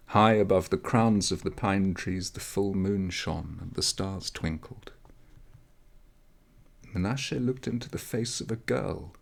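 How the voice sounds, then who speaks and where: A man reading a story aloud as scripted narration, measured, with dramatic pauses. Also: low voice, gravelly. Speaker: audiobook reader.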